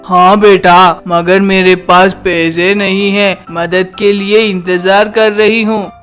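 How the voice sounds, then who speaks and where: A woman playing a meek but firm old woman with a slow, pleading manner in an animated moral story. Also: medium pitch, clean tone, faint music behind.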